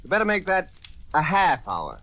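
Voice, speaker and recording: A man's voice: on the high side, huge pitch swings, moderate pace. A man playing a jovial, hungry reporter talking playfully to his mother in an old-time radio drama. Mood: playful, eager. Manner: playful, eager. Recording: very noisy vintage radio recording.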